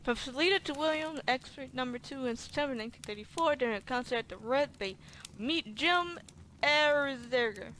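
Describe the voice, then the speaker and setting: A woman with a high voice comments casually on a game she is playing. She talks back to it in a colloquial way and reads bits aloud, with noise behind her. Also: expressive, moderate pace, fairly bright tone. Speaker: gaming streamer playing through a horror game.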